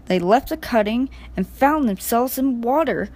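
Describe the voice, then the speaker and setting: A woman narrating a scripted children's storybook in an expressive reading style. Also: a little high, unhurried.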